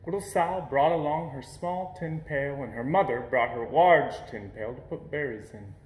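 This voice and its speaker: A man reading a children's picture book aloud in an expressive storytelling manner. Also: mid-range voice, moderate pace, echoey room, low-quality recording. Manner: expressive storytelling with playful sound words. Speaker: storybook reader.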